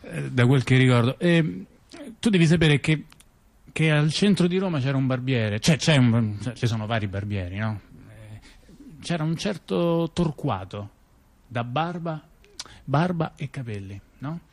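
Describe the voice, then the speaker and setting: A man's voice: medium pitch, measured pace. A man spins a rambling tale for a radio theater piece. His talk is chatty and digressive, and he keeps breaking off for asides and fillers. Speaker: theater performer. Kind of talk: theatrical storytelling dialogue on radio.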